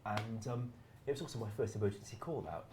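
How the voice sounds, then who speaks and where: A man's voice: fairly low pitch, fast talker, over a noisy crowd. A man telling a work anecdote casually as a game developer, in a dry, understated, precise way. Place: live stream room in an office.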